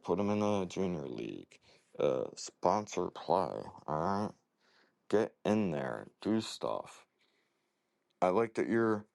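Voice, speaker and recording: A man leaving a casual voicemail as a listener, talking haltingly in short clipped phrases. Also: low-pitched, creaky, a little mellow, no echo.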